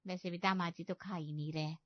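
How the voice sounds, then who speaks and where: A woman's voice: on the low side, steady tone, a little fast, no room sound, highs cut off, lightly acted. A woman voicing a fussy, emphatic young female wedding relative in a Hindi comedy cartoon. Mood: polite, fussy.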